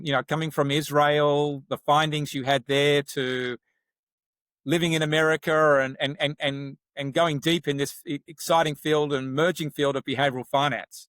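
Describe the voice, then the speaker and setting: A middle-aged Australian man hosts a conversational podcast interview. He talks in a halting way, stacking repeated words as he gathers his thoughts. The sound is very dry and close. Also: on the high side, level tone, fairly bright tone, clear, resonant tone, no room sound. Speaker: podcast host.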